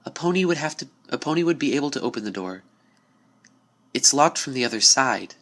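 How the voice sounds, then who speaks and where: A man's voice: medium pitch, lively intonation, a little fast, fairly bright tone, voice pushed up. A man voicing an anxious, hesitant young female unicorn pony in a story reading. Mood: panicked whisper. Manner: uneasy, hesitant.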